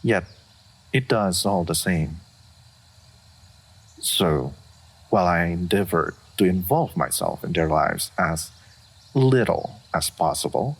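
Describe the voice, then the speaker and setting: Man voicing a very deep, gravelly ancient dragon. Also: full character voice. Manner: formal, deliberate, stoic. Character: ancient aloof male dragon, wise, weary, reserved. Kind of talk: roleplay audio monologue, measured fantasy acting.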